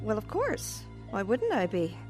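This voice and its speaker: A woman's voice: medium pitch, huge pitch swings, unhurried, a little mellow, faint music behind. An actress playing a chatty, self-satisfied female retirement home caregiver who flusters easily, in an audio drama. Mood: uneasy, flustered. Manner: chatty, rambling, hesitant when flustered.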